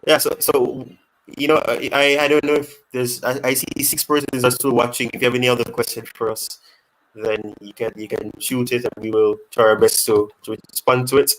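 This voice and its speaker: A man hosts a casual football fan live stream, reading questions from the chat aloud. He rambles and restarts his sentences often. His voice sits at a middle pitch. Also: level tone, a little fast, a bit bright, rough recording.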